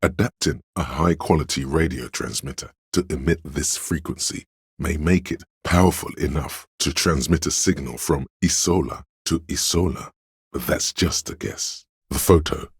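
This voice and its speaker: A man voicing a wry, grandiloquent, erudite inner voice of trivia in a video game, lecturing in measured scripted narration. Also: very deep voice, gravelly, husky, no echo, clean studio sound, full character voice. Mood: measured, scholarly.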